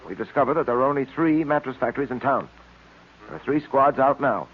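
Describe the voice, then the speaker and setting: A man playing a brisk, commanding, methodical police detective in an old radio serial, clipped and authoritative. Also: mid-range voice, slightly rough, close to an everyday voice. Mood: brisk, focused. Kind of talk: 1930s-40s radio serial acting. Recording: muffled vintage radio serial recording.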